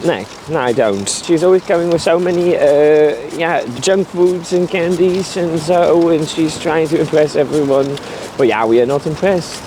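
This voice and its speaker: Guy putting on a stubborn dutch dude, heavy dutch accent, halting english with lots of uh's, higher than his usual voice. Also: a little hiss or hum, natural voice, poor audio. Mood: deadpan blunt. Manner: flat, matter-of-fact, stubborn. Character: blunt stereotypical Dutch man, proudly unimpressed. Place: outdoors on a city street, riding a bike.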